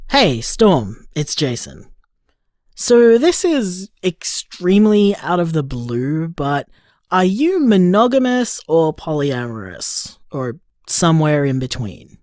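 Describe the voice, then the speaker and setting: A woman's voice: deep voice, sing-song, takes it slowly, darkish tone, no echo, studio quality, full character voice. A woman acting a curious, bemused partner leaving wry, casual voicemails in an audio drama.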